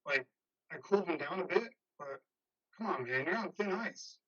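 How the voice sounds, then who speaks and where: A man's voice: a little high, lively intonation, normal speed, a bit bright, slightly rough, lo-fi sound. A man playing a worried, exasperated workmate in a play reading, informal, slangy and urgent. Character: worried, exasperated workmate covering for troubled friend. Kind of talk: play reading, modern drama.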